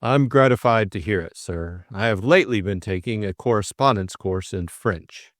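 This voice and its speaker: A man voicing a stiff, deferential valet in an audiobook, speaking in a measured, unflappable, scrupulously polite way. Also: fairly low pitch, no room sound, studio quality, close to an everyday voice. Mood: implacable, formal. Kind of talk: audiobook character acting.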